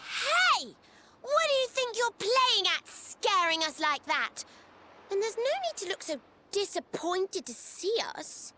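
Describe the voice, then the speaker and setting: A woman's voice: very high-pitched, very animated, measured pace, slight background noise, heavily acted. A woman voicing a blunt, inquisitive young girl adventurer in a fantasy video game, forthright and cheeky. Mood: indignant. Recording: loud game music behind the voice.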